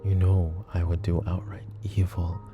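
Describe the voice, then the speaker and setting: A man playing a possessive, protective mafia boss in an intimate ASMR roleplay, speaking in a hushed, deliberate, tender way that often dips toward a whisper. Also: deep voice, flat delivery, over music, close to an everyday voice. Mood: devoted, intense. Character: possessive, protective male mafia boss, smooth menacing devotion.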